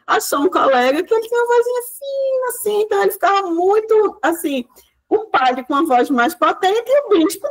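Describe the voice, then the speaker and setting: A high, animated woman's voice. She lectures in a chatty, storytelling way, slipping into quoted dialogue and loose fillers. Her pitch swings widely. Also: rough recording. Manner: lively, many fillers, acts out quoted speech. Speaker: guest lecturer.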